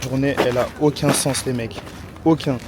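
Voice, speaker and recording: A young man from France vlogging his walk through busy city streets, talking to his viewers in excitable, slangy speech. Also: mid-range voice, normal speed, noisy background. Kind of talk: casual travel vlog talk. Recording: music mixed under the voice.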